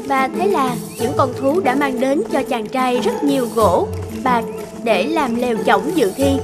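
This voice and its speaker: A woman's voice: high-pitched, expressive, a little slow. A woman narrating a fairy tale in a scripted storytelling style. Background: loud background music.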